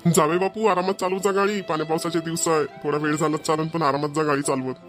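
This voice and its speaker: An anxious, loving middle-aged father in a cartoon, voiced by a man. He pleads tenderly in a slightly high male voice with a Vidarbha Marathi accent. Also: steady tone, quick pace, fairly bright tone, faint music behind, lightly acted. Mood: caring. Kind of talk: amateur cartoon voice acting.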